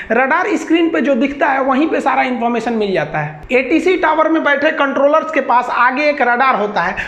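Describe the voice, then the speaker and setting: A woman with a Bihari accent giving an informal explainer lecture, colloquial and repeating points with everyday examples. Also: high voice. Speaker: teacher. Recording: echoey, dull lo-fi audio.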